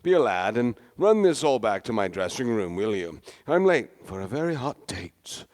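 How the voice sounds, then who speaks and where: A man's voice: medium pitch, sing-song, normal speed, a little mellow, lightly acted. Man playing a swooping, grandiose stage star. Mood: jovial, breezy. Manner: theatrical, avuncular, declamatory. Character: grandiose, hammy, genial male veteran stage star. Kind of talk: radio play acting.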